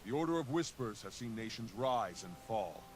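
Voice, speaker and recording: Man voicing a steady persuasive game hero, noisy game sound behind. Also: on the low side, moderate pace, natural voice. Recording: game audio with background noise.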